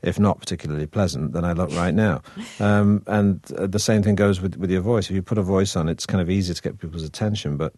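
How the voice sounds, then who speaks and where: A man's voice: sits low, fast talker, hardly any pauses, mellow, no room sound, studio quality. A man with a Manchester accent, an actor chatting as an interview guest in a wry, hesitant way full of restarts. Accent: Manchester (northern English). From Manchester, England. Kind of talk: relaxed interview conversation.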